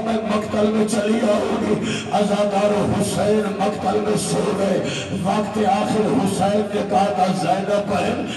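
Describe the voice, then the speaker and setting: A man preaching a mourning sermon in a chanted, sing-song lament that keeps rising into shouts. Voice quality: high, sing-song wailing delivery that rises to shouts. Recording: echoey hall, distant mic, music and crowd.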